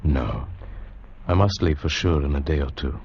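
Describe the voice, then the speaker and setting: A man's voice: a bass voice, normal speed, vocal fry, a little hiss or hum. An actor playing a bewildered, haunted traveller in an old-time radio drama, hesitant as he speaks and tells his story. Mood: nervous, bewildered. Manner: hesitant, stammering in dialogue. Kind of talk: old-time radio drama acting with first-person narration. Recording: muffled old radio recording with music.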